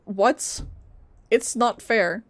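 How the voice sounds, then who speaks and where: A woman's voice: fairly high pitch, drawn-out words. A woman streaming a video game, reacting casually in a giggly, jokey way. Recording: faint game music behind. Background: game music.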